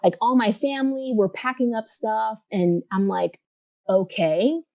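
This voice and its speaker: A woman in her thirties, a guest from Los Angeles, speaking reflectively in a casual interview with many pauses and fillers. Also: fairly high pitch, mellow, no room sound, lo-fi sound. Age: 30s.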